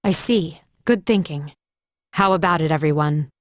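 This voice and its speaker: Low, dignified young woman played by an actress. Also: no room sound, clean studio sound, lightly acted. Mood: thoughtful, decisive. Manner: measured, formal. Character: composed, dignified, loyal older schoolgirl, earnest and formal. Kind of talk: anime game English dub acting.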